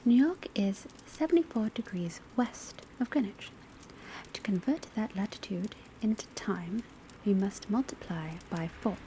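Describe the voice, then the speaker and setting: Witch academy professor female performer, ASMR roleplay. Hushed, breathy, dark-toned whisper, precise and instructive, faint ambient noise behind. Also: medium pitch, unhurried, close to an everyday voice. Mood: patient, instructive. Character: patient, scholarly witch school divination professor. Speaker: teacher in a classroom roleplay. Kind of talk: soft ASMR roleplay lecture. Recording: soft steady background ambience noise. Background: crackling fireplace, pencil writing, rain on rooftop.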